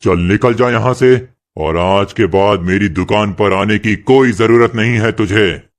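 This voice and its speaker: Man playing a stingy, scolding boss, low-pitched. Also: unhurried, lightly acted. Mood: angry, sarcastic. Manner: scolding, sarcastic, bossy. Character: stingy, harsh male tea-shop boss, quick to anger. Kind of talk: animated moral story acting.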